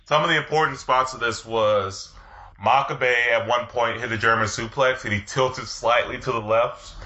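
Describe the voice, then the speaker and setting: A man's voice: medium pitch, fairly even intonation, normal speed, sharp tone, lo-fi sound. A man co-hosting a casual podcast, eagerly recounting wrestling matches with plenty of swearing. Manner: profane, enthusiastic play-by-play recounting. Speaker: podcast co-host. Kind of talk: casual chat recapping wrestling.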